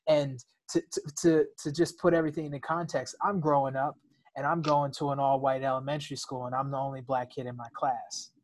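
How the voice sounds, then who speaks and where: A man's voice: medium pitch, flat delivery, fairly quick. American man telling his personal story candidly. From United States. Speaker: interview guest.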